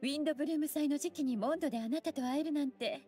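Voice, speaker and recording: A woman voicing a graceful, teasing young scholar mage in an anime game, with elegant, playful, unhurried phrasing. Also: high-pitched, dark tone, close to an everyday voice. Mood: playful, pleased. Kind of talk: Japanese anime game voice acting. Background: music.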